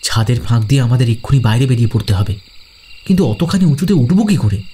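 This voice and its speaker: An actor playing an uneasy, admiring schoolboy sidekick in an adventure audio drama, with an anxious, questioning manner. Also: male voice, medium pitch, lively intonation, quick pace, mellow, a little hiss or hum, natural voice. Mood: worried. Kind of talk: Bengali audio drama acting.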